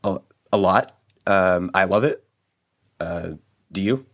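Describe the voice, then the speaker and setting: A man's voice: sits low, takes it slowly, a bit bright. A young man hosting a podcast, his voice a bit worn, talking casually with many hesitations and restarts. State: voice worn after a late party. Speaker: podcast host.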